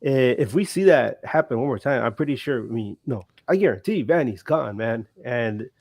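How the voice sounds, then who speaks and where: A man's voice: medium pitch, animated, moderate pace, gravelly, a little mellow, husky, no echo. Male podcast co-host, tired, rambling casual banter, dry close-miked sound. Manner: rambling, joking, laughs often. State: tired after a long day. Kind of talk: casual sports chat.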